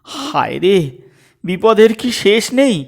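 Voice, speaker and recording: A man's voice: high voice, very animated, slow, vocal fry, pitched above the performer's own voice, full character voice. A man playing a timid, flustered young married son in a comic audio story, stammering and plaintive. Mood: despairing.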